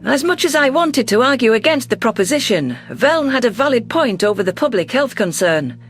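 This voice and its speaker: A man narrating a science fiction story in the first person, in an expressive, theatrical manner. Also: very high voice, brisk, soft background music.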